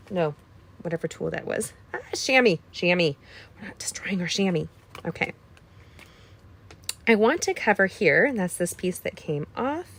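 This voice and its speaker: A woman with a slightly scratchy voice hosting a card-making tutorial, chatting casually with playful asides and dropping into whispers. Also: fairly low pitch. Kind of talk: casual craft tutorial chat.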